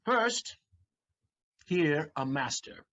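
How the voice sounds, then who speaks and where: A man playing an animated, sardonic, swaggering servant. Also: fairly high pitch, drawn-out words, highs cut off, exaggerated voice. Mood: defiant. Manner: declamatory, mocking, dramatic emphasis. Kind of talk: theatrical stage acting in an audio play.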